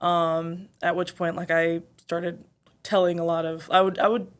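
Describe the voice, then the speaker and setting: A woman's voice: lowish voice, level tone, moderate pace. A young woman from Zambia, an R&B singer, chatting as a guest in an interview, thoughtful and full of fillers. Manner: thoughtful, many fillers and "like", laughs often. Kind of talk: casual interview chat.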